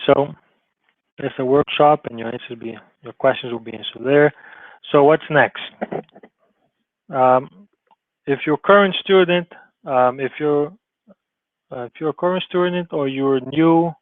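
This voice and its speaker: A man's voice: medium pitch, measured pace, long pauses, no room sound. A male college advisor giving an informal screen-share tutorial, talking loosely with restarts and frequent "okay?" check-ins. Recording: tinny phone-like narrow sound, dry.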